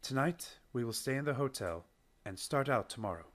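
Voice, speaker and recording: A man performs a courteous, composed mentor figure, a doctor in a radio play. The pitch is middling for a man and moves expressively across a wide range. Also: animated, normal speed, fairly bright tone. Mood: explaining. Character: courteous, measured doctor, wise planner and mentor.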